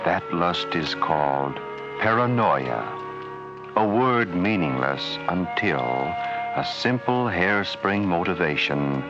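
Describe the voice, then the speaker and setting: Ominous deep-voiced old radio narrator. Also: male voice. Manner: ominous, deliberate, dramatic. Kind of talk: 1940s radio announcing. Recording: dull old radio broadcast with music.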